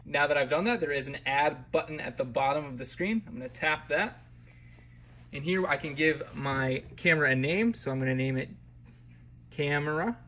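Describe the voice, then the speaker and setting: A man's voice: fairly high pitch, moderate pace, a bit bright, a little hiss or hum. A man presenting a step-by-step product tutorial in an informal, explanatory way. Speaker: tutorial presenter for a security camera company.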